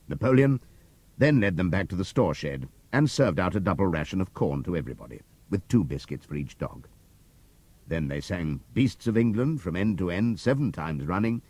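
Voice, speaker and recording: Deep, lively older male storyteller. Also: husky, no echo. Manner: measured, expressive storytelling with wide pitch swings. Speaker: audiobook narrator. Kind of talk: scripted literary narration. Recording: dry close studio read from cassette tape.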